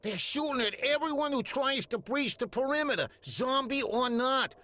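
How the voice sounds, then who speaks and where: An actor playing a slippery, cheeky gangster pilot in a video game, theatrical and sly. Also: male voice, very high voice, lively intonation, moderate pace, pushed and bright, heavily acted. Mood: boastful. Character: slippery, cheeky little male gangster pilot, treacherous schemer. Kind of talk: 1920s gangster game voice acting. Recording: music behind the voice.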